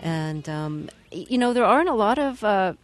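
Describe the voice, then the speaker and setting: A woman hosting a radio interview, speaking in a measured, thoughtful way. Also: mid-range voice, animated, soft background music. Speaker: radio host.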